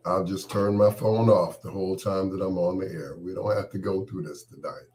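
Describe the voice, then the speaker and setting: A man hosting an on-air monologue, talking with a cold and a partly lost voice, irritated and dismissive, his words often trailing off quietly. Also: deep voice, steady tone, moderate pace, slight background noise. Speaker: host.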